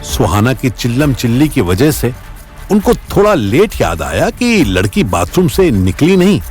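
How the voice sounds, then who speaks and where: A man narrates an audio story with drama and wide swings of intonation. His pitch sits in the middle, and soft music runs underneath. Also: very animated, unhurried. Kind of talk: dramatic scripted narration in Hindi. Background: faint background music.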